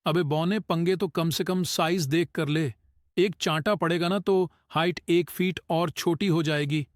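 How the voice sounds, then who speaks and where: A man plays a cocky young college bully in a story. His voice sits rather high for a man, and he taunts and sneers with a threatening edge. The recording is dry. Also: steady tone, measured pace, no room sound, close to an everyday voice. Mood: threatening, sneering. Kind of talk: scripted audio story acting.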